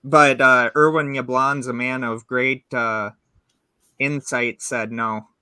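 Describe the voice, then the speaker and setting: A man in his twenties hosting a casual podcast chat, animated as he tells stories and shares trivia. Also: mid-range voice, slow pace, clean tone, dry recording. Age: 20s. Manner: animated storyteller sharing trivia. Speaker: host.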